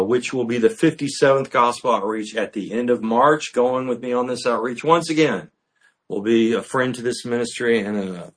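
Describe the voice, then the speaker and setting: A male pastor teaches a livestream congregation in an earnest, emphatic voice at a middle pitch, often pausing on "uh" between points. He is recorded through a built-in mic in an indoor room. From United States. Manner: earnest, emphatic preaching with frequent "uh" fillers. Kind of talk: sermon-style livestream teaching. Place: indoor room with natural acoustics. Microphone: built-in mic, no external microphone.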